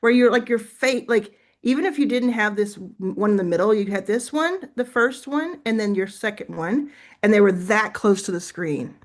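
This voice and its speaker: A woman's voice: mid-range voice, normal speed. Chatty woman coaching on a livestream. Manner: chatty, encouraging, thinks aloud with fillers. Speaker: livestream host giving business coaching. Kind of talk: casual live coaching chat.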